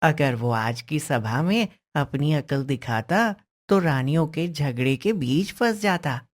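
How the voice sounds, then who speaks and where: A woman plays a clever young boy from a moral-story cartoon. His voice is low, with lively swings of tone. He speaks very slowly and politely, sure of his persuasive wit. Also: full character voice. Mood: respectful, confident. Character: clever, confident young boy advising a king.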